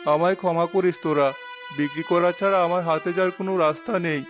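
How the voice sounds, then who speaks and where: A man's voice: sits high, steady tone, brisk, close to an everyday voice. A man voicing a worried, despairing middle-aged village father in a cartoon, plaintive and lamenting. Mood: resigned. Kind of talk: Bangla cartoon voice acting. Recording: music behind the voice.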